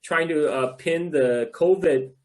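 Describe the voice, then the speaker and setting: A man's voice: on the high side, slow pace, a little mellow. A man in his forties from China, talking in a casual video-call panel discussion with a headset, often repeating and restarting his words. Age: 40s. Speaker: podcast guest.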